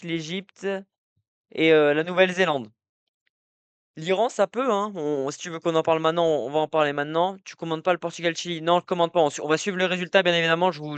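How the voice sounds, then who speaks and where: Young male streamer, casual and rambling, with a smooth, slightly high voice and a dry close sound. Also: dry recording. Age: young adult. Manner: rambling, many fillers and restarts, talks to chat. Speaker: live streamer. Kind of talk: casual live-stream football talk. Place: indoor room at night.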